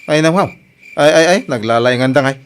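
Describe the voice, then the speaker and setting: A man's voice: on the high side, brisk, smooth, lightly acted. A man with an Ilocano accent playing a bantering, complaining village man in a comedy radio drama.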